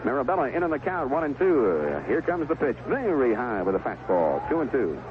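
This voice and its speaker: American baseball announcer on tinny vintage radio. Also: male voice, mid-range voice, very animated, fairly quick. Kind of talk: live baseball radio commentary. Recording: tinny, noisy 1970s AM radio broadcast.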